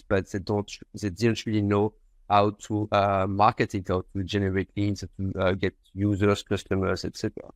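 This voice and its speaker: A man's voice: on the low side, darkish tone, dry recording. A man from France with a French accent, explaining his business conversationally as an interview guest. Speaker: company founder, podcast guest.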